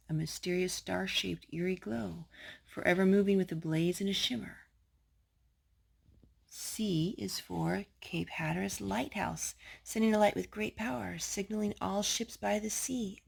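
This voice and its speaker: Husky, creaky-voiced woman reading a storybook. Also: on the low side. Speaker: storytime host reading aloud to a child. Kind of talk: picture-book read-aloud.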